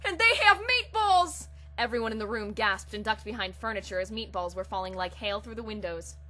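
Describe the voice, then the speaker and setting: A woman's voice: medium pitch, steady tone, fast, fairly bright tone. A woman hosting a reading of comic fan fiction, moving between casual talk and dramatic storytelling. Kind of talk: casual intro, then dramatic comic storytelling read aloud. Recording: audible background hum and hiss.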